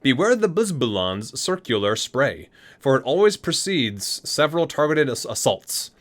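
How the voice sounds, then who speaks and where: A man's voice: medium pitch, sing-song, normal speed. A man reading aloud in an ASMR style, stumbling over words and correcting himself between casual asides. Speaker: ASMR video host.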